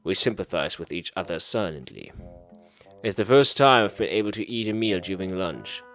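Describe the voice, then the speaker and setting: A man's voice: low voice, expressive, moderate pace, a bit bright, soft background music. A man reading a visual novel aloud in a theatrical, mock-serious way as a gaming host. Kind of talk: dramatic read-aloud narration of a visual novel.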